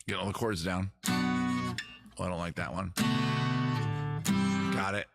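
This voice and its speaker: Deep-voiced man rambling over loud guitar. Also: flat delivery, unhurried, gravelly. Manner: rambling, self-mocking, swears casually. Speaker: host, singer and guitarist. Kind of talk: casual livestream chat between songs. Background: guitar playing.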